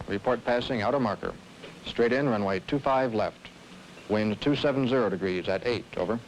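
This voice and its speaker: A man playing an airport tower controller, giving terse, matter-of-fact radio clearances in an old film. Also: lowish voice, normal speed, slight background noise, close to an everyday voice, rough recording.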